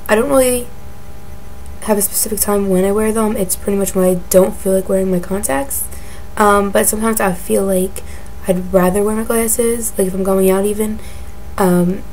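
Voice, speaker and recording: A woman in her twenties with a raspy voice from a cold, rambling casually to a webcam in her bedroom with lots of ums and likes. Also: medium pitch, a little mellow, a little hiss or hum, poor audio. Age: 20s. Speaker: beauty vlogger. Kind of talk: casual chat answering a tag. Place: bedroom, indoors. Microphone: webcam at desk.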